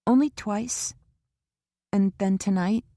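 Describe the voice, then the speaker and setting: A woman voicing an anxious, devoted young woman who talks eagerly and protests quickly in an audiobook. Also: mid-range voice, dark tone, no room sound, clean studio sound.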